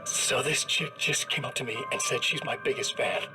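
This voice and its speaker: An actor playing a smug, cruel evil double who boasts and gloats, in a video game cutscene. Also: male voice, mid-range voice, moderate pace, close to an everyday voice, rough recording. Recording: game audio over loud music.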